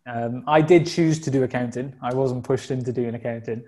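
Welsh guest in his thirties, warm relaxed tone, reflective and chatty. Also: medium pitch, moderate pace. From South Wales. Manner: open and reflective, frequent fillers and restarts. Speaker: podcast guest. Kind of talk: casual conversational interview.

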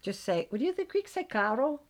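A middle-aged American woman with a gravelly voice, chatting as a podcast host and telling animated stories. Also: a little high, brisk. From United States. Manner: chatty, animated storyteller, laughs often. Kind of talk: casual chat.

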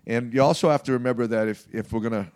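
A man's voice: mid-range voice, darkish tone, no echo. Man in his late fifties, dry close sound. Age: late 50s. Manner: halting, emphatic, often checks with "okay". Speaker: martial arts practitioner being interviewed. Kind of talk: conversational interview answers.

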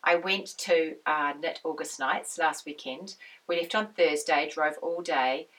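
A woman hosting her knitting podcast with a husky, croaky voice, chatting in a rambling, friendly way. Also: sits low, unhurried, fairly bright tone, far from the mic, rough recording. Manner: rambling, friendly, with many restarts and laughs. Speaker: podcast host and knitwear designer. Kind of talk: casual chatty monologue.

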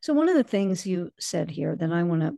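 Woman, interview host, gravelly voice, dry recording, measured, probing. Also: lowish voice, sing-song, mellow, dry recording. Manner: measured and probing, with many short acknowledgments. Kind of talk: interview with a guest.

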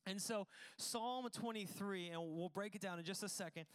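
A man's voice: high-pitched, clear, resonant tone. A man preaching a conversational sermon to a congregation, casual and joking, poking fun at himself. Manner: casual, joking, self-deprecating. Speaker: pastor. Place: church service with congregation.

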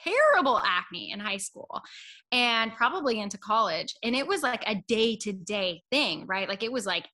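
A woman's voice: medium pitch, bright, forceful tone. A woman in her thirties, a guest on an interview show, talking in a chatty, self-deprecating way and often laughing at herself. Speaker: podcast guest. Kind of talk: casual conversational interview.

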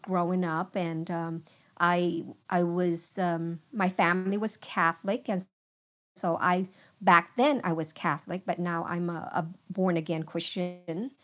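A middle-aged woman from Vietnam, a guest on a podcast, sharing her testimony in slow, halting, earnest speech full of pauses. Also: on the low side, fairly even intonation, a bit bright.

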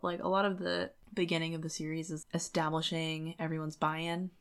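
Woman, low-pitched, podcast co-host; loose, informal book chat that rambles along. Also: flat delivery, brisk, clear, resonant tone. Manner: informal, rambling, like-heavy phrasing. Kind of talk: casual book discussion chat.

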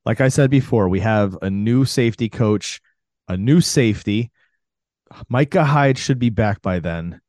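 A man's voice: lowish voice, lively intonation, unhurried, darkish tone, dry recording, clean studio sound. A man co-hosting a sports podcast, talking casually in an opinionated, slangy way.

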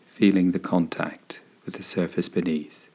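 Male meditation guide: deep, breathy-husky tone, gentle and soothing, unhurried delivery with room to pause. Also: little pitch movement. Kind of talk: guided body scan meditation narration.

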